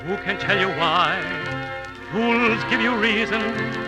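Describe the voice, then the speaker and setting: Man singing slowly, very high voice. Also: over loud music, rough recording. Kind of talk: slow sung romantic show tune.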